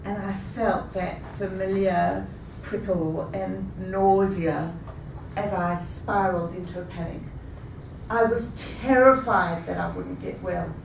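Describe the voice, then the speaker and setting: Slow, measured woman in an echoey room. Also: medium pitch, fairly even intonation, vocal fry, a little hiss or hum. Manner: measured, deliberate delivery. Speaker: speaker. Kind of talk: rehearsed personal story. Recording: echoey room, taken from a distance.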